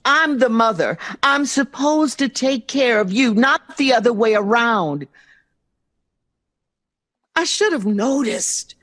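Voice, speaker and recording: A middle-aged American mother in an audio drama, wounded but loving. She speaks slowly and emphatically, with a very lively, emotionally open intonation. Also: fairly high pitch, heavily acted.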